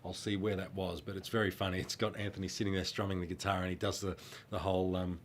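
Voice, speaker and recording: Aussie guy with a cold, stuffed-up nose, kinda low voice, rambly and friendly, faint rumble behind. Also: level tone, fast. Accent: Australian. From Australia. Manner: friendly, rambling, hesitant with ums. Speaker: former children's band singer answering fan questions. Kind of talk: casual Q&A reading fan questions.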